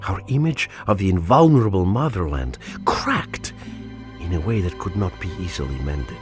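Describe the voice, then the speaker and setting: An actor playing a grave, patriotic Soviet soldier, solemnly narrating war memories in a video game. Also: male voice, sits low, animated, moderate pace. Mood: solemn, brooding. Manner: solemn, reflective. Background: music.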